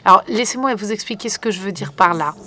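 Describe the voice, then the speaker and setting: A woman's voice: mid-range voice, fast, pushed and bright. A woman working as a conference interpreter, relaying a panel live with frequent fillers and restarts. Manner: hesitant, many fillers and restarts.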